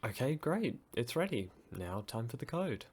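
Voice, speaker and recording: A man's voice: medium pitch, very animated, normal speed, breathy edge, soft background music. A young man narrating a DIY project casually, with dry, self-deprecating humour. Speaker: maker presenting a DIY project.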